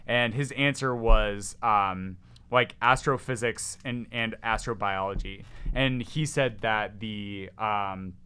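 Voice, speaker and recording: A man with a loud, resonant voice, talking excitedly as a podcast guest, rambling with many restarts. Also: fairly low pitch, slow pace, smooth. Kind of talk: casual chat.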